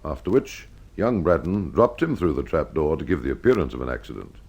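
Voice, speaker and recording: A man's voice: very deep voice, animated, a little fast, a little mellow, husky. A man narrating an old radio mystery in a genial, wry storytelling manner.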